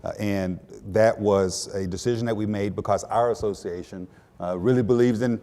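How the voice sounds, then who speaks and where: A man from Texas, speaking as a guest on a political panel, halting and repeating his words with many fillers. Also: on the low side, steady tone, dark tone, long reverb tail. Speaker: panel guest, attorney general. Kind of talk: panel discussion.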